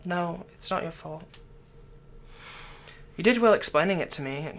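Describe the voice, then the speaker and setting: A man playing a doting, slyly manipulative villain captor in an intimate ASMR roleplay, speaking gently and coaxingly with unhurried pauses. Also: sits high, expressive, a little hiss or hum, exaggerated voice. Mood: gentle, attentive.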